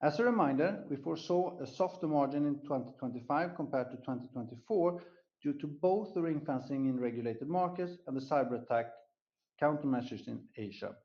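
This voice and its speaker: A man's voice: fairly high pitch, animated, a little fast. Male executive on a muffled conference call. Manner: formal, scripted delivery. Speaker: company executive presenting quarterly results. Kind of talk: prepared earnings call presentation. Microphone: conference call line.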